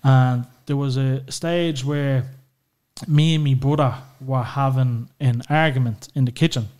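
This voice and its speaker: A man's voice: medium pitch, steady tone, measured pace, darkish tone. An Irish man opening up as a podcast guest, talking frankly about family and swearing casually, with lots of "you know" filler. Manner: open and emotional, swears freely, many "you know"s. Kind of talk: candid personal conversation.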